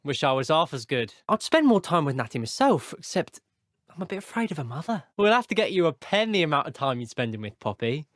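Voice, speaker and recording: A man's voice: on the high side, sing-song, fairly quick, dry recording, clean studio sound. A man voicing an earnest, chatty teenage wizard schoolboy in a video game, with a British accent and a polite, wry, old-fashioned turn of phrase. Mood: friendly, teasing. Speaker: voice actor. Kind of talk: scripted video game character voice clips.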